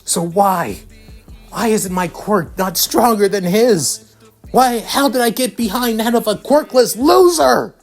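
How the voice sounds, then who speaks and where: A man's voice: sits high, animated, slow, gravelly, soft background music, voice pushed up, full character voice. A man voicing a proud, bitter teenage boy hero student in strained, anguished outbursts for a fan story. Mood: anguished, angry. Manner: strained, self-questioning outbursts.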